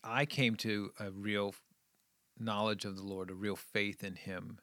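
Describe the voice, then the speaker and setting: A man's voice: fairly low pitch, little pitch movement, measured pace, bright, forceful tone, no echo. A middle-aged man talking casually and earnestly about his schooling and family, often trailing off. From New England, USA. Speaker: guest. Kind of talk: casual conversation.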